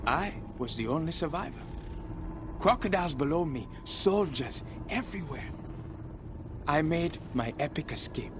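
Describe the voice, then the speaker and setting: Man acting a boastful, lively high-voiced thief. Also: normal speed, noisy background, natural voice. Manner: theatrical, self-dramatizing storytelling. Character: boastful cheeky male thief telling tall tales. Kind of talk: video game cutscene acting. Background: music.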